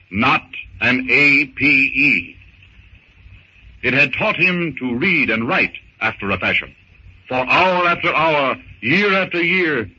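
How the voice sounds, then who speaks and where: A man delivering deliberate, dramatic scripted narration in the style of 1930s radio. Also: on the high side, pushed and bright. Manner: theatrical, deliberate, florid. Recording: muffled, noisy old 1930s radio recording.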